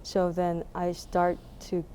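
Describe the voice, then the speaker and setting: Mellow young Taiwanese-accented woman. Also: on the low side, completely flat delivery, slow, slight background noise. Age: young adult. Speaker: musician being interviewed. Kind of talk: casual interview answers.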